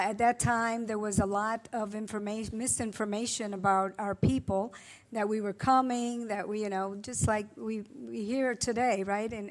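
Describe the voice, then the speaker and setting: A woman in her sixties from Puerto Rico, a native Spanish speaker, giving an earnest acceptance speech full of halting filler sounds. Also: a little high, a little mellow. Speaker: award honoree.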